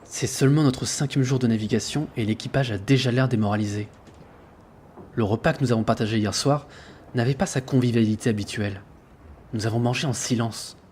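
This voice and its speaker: An actor playing an earnest sailor who narrates his ship's log in a measured, reflective way for an audio drama. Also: mid-range voice, darkish tone, a little hiss or hum, close to an everyday voice. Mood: grave, reflective. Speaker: narrator and lead character. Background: background music.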